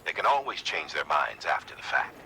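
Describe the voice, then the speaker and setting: An actor playing an authoritative official who gives a formal, measured, explanatory briefing in a video game. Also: male voice, extremely low pitch, very animated, creaky, sharp tone, slight background noise, heavily acted, poor audio. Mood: matter-of-fact. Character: authoritative male organization official briefing a courier by radio.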